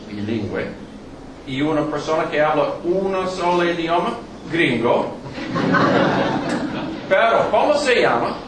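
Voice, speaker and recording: Slow halting man, strong American accent. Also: a little high. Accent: American English. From New York, United States. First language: English. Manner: halting, many fillers, joking asides. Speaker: guest lecturer. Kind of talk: public lecture with jokes. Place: lecture hall. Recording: echoey hall, distant mic, background noise.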